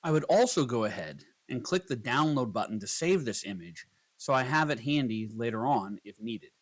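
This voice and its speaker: A man narrating a scripted software tutorial, explaining each step in turn as a voice-over. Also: mid-range voice, expressive, normal speed.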